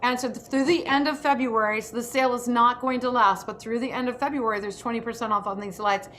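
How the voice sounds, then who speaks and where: A woman's voice: a little high, steady tone, fast talker, a bit bright, slight background noise. A woman hosting an informal online workshop, speaking in an emphatic, enthusiastic way. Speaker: host of an actors' workshop.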